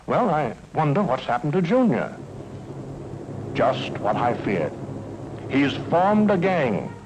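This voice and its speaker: A man's voice: fairly high pitch, huge pitch swings, slow pace, background noise. An American man giving folksy, emphatic scripted narration in the manner of a mid-century educational film. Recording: old film soundtrack with music.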